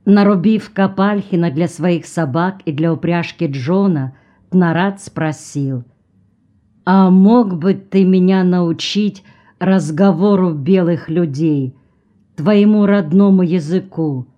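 Woman reading an audiobook, kinda low mellow voice, takes her time, calm even delivery. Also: slow, dull audio. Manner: calm, even reading, lifting voice slightly for characters' lines. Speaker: audiobook reader. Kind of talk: literary audiobook narration.

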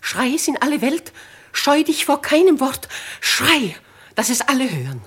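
An actress playing a shrewd, commanding noblewoman in a 1950s German radio play, husky, emphatic and archly courteous. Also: high voice, sing-song, normal speed, fairly bright tone, exaggerated voice. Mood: urging, encouraging. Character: shrewd, commanding noble old spinster, mockingly gracious.

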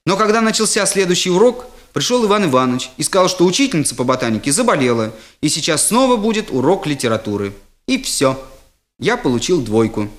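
A man performing a humorous first-person story as its storyteller, with expressive comic timing. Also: fairly high pitch, fast.

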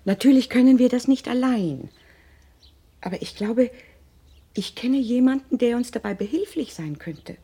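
An actress playing a determined upper-class wife and mother in a German radio drama, husky, speaking in a measured, formal way. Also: a little high, expressive, warm tone. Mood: guarded, reasoning.

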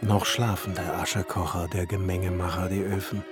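An actor playing a pensive, exacting alchemist and master glassmaker in a literary radio play, speaking in a measured, musing way. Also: male voice, low-pitched, level tone, close to an everyday voice. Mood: contemplative. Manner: measured, musing, at times hushed and breathy. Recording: music behind the voice. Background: music.

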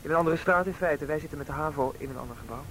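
A man playing a teenage schoolboy in a radio play. The voice is fairly high and fast, polite but guarded, with careful wording. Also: a bit bright, natural voice, low-quality recording. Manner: hesitant, carefully worded. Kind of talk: Dutch radio drama acting. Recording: radio play with faint street rumble.

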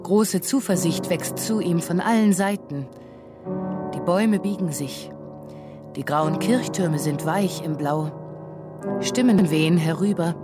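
A woman's voice: fairly low pitch, measured pace. A woman narrating a literary radio drama in scripted, descriptive prose. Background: music.

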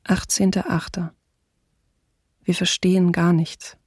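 An actress playing a shaken but sober city diarist in a radio docudrama, reading in an even, restrained, husky voice. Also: female voice, on the low side, slow pace, no room sound, studio quality. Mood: bleak, bewildered. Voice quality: husky, creaky.

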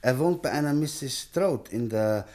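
A man's voice: medium pitch, expressive, measured pace, mellow, close to an everyday voice. An actor playing a courteous, helpful male police sergeant in a German radio mystery drama.

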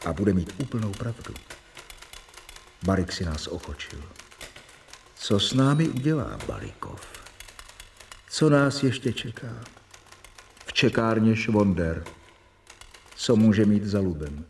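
A male narrator reflects in a brooding, questioning tone. His voice sits at a middle pitch. Faint typewriter clicks tap behind him. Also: sing-song, creaky, pauses often, darkish tone, slight background noise.

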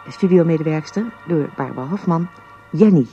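Higher-pitched male radio drama announcer. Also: fairly quick, warm tone. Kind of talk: formal scripted credits reading. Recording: dull radio recording, music behind.

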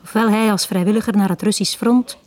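A woman's voice: medium pitch, fairly even intonation, fairly quick, darkish tone, natural voice. An actress playing a wistful woman confiding her past in a radio drama, with a Flemish accent and an intimate, near-whispering delivery. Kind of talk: 1980s Dutch-language radio drama acting.

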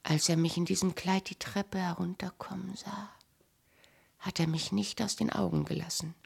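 An actress playing a proud, hard, aging Greek wife in a German radio play, commanding, curt and bitter. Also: female voice, on the low side, vocal fry, slightly rough, full character voice. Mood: wistful, hushed. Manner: imperious, curt, scornful, then wistful.

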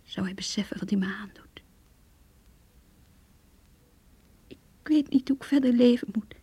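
Breathy, hushed heartbroken mistress. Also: female voice, mid-range voice, a little fast. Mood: anguished. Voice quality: very breathy, often near whisper. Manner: hushed, sorrowful, intimate. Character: heartbroken mistress, wounded, longing, quietly bitter. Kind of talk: radio drama inner monologue, diary reading.

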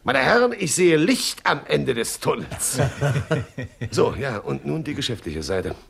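A man's voice: medium pitch, very animated, measured pace, creaky, a bit bright, breathy edge, heavily acted. A man playing a scheming, self-important gang boss with a pompous, theatrical manner in a German radio crime comedy. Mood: jovial, grandiose. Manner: pompous, theatrical, falsely genial.

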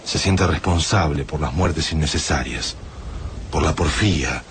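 Grim surviving fighter, very deep voice, noise behind, radio play. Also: male voice, a little slow. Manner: grim, reflective narrating. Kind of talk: first-person radio drama narration.

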